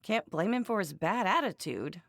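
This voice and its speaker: Wry, animated young anime woman. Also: mid-range voice, normal speed, gravelly, no echo, clean studio sound. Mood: casual, musing. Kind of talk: English-dubbed anime game voice acting.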